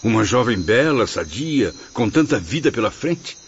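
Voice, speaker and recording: A man's voice: medium pitch, very animated, normal speed. An actor playing a proud, anguished, learned male spirit, formal and brooding, in a radio drama. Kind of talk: Portuguese-language radio drama acting. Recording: muffled lo-fi audio, night insects, noise.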